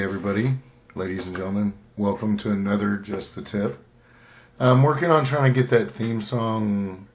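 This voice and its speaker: A man hosting a show in a casual, folksy way, thinking aloud in a halting, unscripted manner. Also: lowish voice. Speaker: host of a writing tips show.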